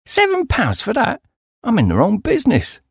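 A man's voice: extremely high pitch, wildly swooping pitch, normal speed, vocal fry, husky, no room sound, clean studio sound, pitched above the performer's own voice, heavily acted. A man voicing a chatty younger greengrocer, blunt and exclamatory, in audiobook dialogue. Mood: mock astonishment.

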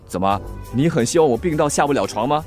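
A man's voice: medium pitch, animated, quick pace, a little mellow, soft background music, close to an everyday voice. A man with a Singaporean accent acting in a radio drama as a rich young master, secretive, brusque and bantering.

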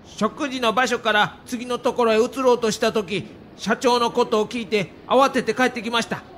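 A man's voice: extremely high pitch, steady tone, moderate pace, a bit bright, slight background noise. A man playing a grave, earnest middle-aged baseball manager with a Kansai accent in an audio documentary, speaking politely and solemnly. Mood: shaken, disbelieving. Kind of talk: acted dialogue in audio documentary.

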